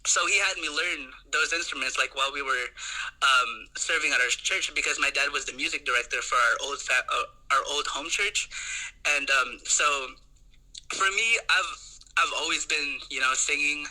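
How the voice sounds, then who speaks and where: A man's voice: fairly high pitch, normal speed, pushed and bright, rough recording. A young man from the Philippines, a podcast guest, chatting enthusiastically and rambling with many fillers and restarts. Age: young adult. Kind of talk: casual chat.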